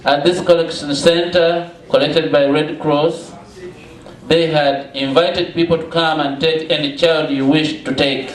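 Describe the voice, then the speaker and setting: Higher-pitched Ugandan man speaking slowly, echoey room. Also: level tone. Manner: deliberate, with emphatic repetition. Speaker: guest speaker, founder of children's schools. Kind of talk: public storytelling address. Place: indoor cafe gallery open mike.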